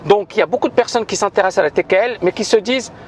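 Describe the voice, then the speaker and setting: A man's voice: high-pitched, racing, slight background noise. A man who founded a trading school makes an emphatic video announcement, repeating himself and hesitating often. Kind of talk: spoken video announcement to viewers.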